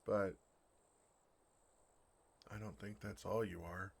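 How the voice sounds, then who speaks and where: A bashful dragon played by a man speaks in a lowish voice with a creaky, gravelly edge. He is earnest and tender, hesitating awkwardly as he talks of love. It is an intimate fantasy ASMR roleplay. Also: steady tone, takes it slowly, heavily acted. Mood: tender realization.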